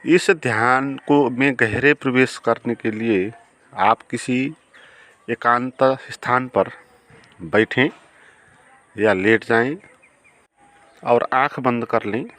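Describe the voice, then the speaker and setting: A man guiding a meditation in a calm, unhurried way, with long pauses between short phrases. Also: mid-range voice. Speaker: meditation guide. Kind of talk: guided meditation.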